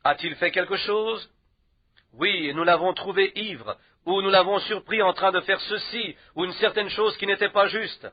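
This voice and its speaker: Guy with a high voice preaching hard, lots of short punchy affirmations, dry muffled recording. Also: normal speed, no room sound. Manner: emphatic, repeats short affirmations. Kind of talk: sermon. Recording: dull, lo-fi, dry sound.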